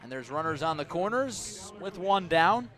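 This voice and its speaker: A man doing live baseball radio play-by-play, with a measured delivery that lifts on action calls and descriptive asides between pitches. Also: big pitch swings, a bit bright. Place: outdoor ballpark broadcast position above the stands.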